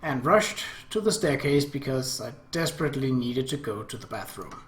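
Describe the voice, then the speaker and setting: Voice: medium pitch, lively intonation, moderate pace. A game host reading narration aloud and adding casual asides. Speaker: host reading and reacting to a visual novel. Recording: echoey room.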